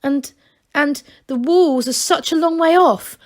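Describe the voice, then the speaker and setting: A woman voicing an excitable young male gnome with grand manners, talking eagerly and breathlessly. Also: high voice, measured pace, pitched above the performer's own voice. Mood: excited.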